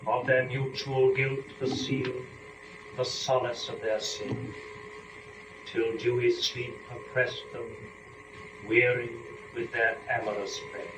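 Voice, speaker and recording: A man narrating epic poetry as a dramatic reading, solemn and measured, with declamatory phrasing and weighty pauses. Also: medium pitch, level tone. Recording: echoey room, distant microphone, clear noise.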